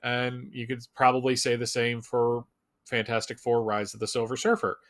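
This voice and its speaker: A man's voice: mid-range voice, level tone, normal speed, fairly bright tone. A man with a mid-range voice talks in the loose style of a fan commentator. He is informal and emphatic, and tends to ramble through his points. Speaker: Superman fan commentator on a fan live show. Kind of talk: casual fan discussion.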